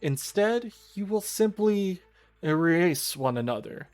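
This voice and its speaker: A man's voice: high-pitched, expressive, slow, a little mellow, pitched above the performer's own voice. A man voicing a prim, condescending female arbiter of a deadly game, reading a visual novel aloud in formal, theatrical tones. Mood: prim, haughty. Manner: theatrical, formal, mock-refined. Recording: music behind the voice.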